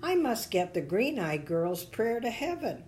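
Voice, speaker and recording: A woman's voice: a little high, big pitch swings, measured pace, a little mellow, voice pushed up. An earnest, childlike little bubble character from a storybook, acted out by a woman. The voice is raised and insistent, pleading bravely, with some noise in the recording. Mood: determined, pleading. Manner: emphatic, pleading refusals.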